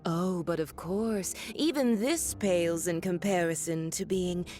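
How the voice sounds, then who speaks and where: A woman plays a sly, elegant villainess in a game cutscene. Her voice is very low for a woman, poised and condescending, with a sardonic edge. Music plays underneath. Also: a little slow, darkish tone, natural voice. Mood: taunting, condescending. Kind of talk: video game cutscene acting.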